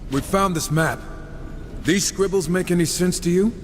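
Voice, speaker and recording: A man's voice: high-pitched, moderate pace, long reverb tail, a little hiss or hum, close to an everyday voice. A man playing a sarcastic, irreverent horseman gunslinger in a video game. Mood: flippant. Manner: sarcastic, flippant. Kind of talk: video game character acting.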